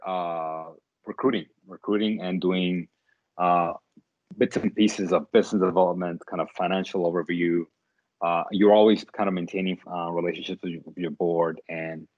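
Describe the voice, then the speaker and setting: Startup founder, thirties, very deep voice, halting and thoughtful, dead-dry lo-fi sound. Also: male voice, no room sound. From Dominican Republic. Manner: thoughtful, many fillers and restarts. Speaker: startup CEO, guest. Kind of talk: interview answers.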